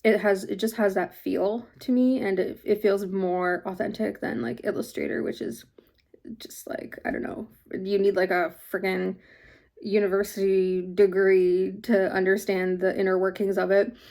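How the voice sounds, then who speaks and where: A woman's voice: mid-range voice, steady tone, normal speed, creaky, a little mellow. A sniffly woman with a mild cold talks loosely to camera about her small craft shop. She rambles, filling gaps with likes and ums, in an easygoing vlog chat from her home studio. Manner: rambling, filler-heavy, many likes and ums. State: slight head cold, sniffling. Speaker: small craft business owner.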